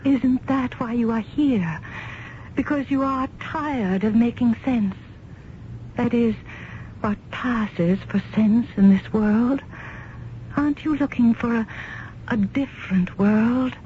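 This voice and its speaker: An actress playing a mysterious woman from another world in a radio drama, speaking formally, deliberately and with hypnotic insistence. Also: a little high, dull audio. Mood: urgent, coaxing. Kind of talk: old-time radio drama acting. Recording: band-limited old radio recording with noise.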